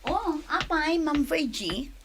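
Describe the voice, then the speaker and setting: A woman's voice: high voice, animated, takes it slowly, a little hiss or hum. A woman acting in a radio drama as a friendly young schoolteacher, effusive and grateful in manner. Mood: surprised, grateful.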